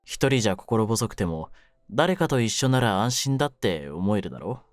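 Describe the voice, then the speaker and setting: A man playing a cynical, wounded young mafia kidnapper in an audio drama, with a low voice and rough, brooding delivery. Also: sing-song, normal speed, dry recording, clean studio sound, faint music behind. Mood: wistful. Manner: rough masculine slang, taunting, brooding delivery. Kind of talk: Japanese situation-voice audio drama, ASMR.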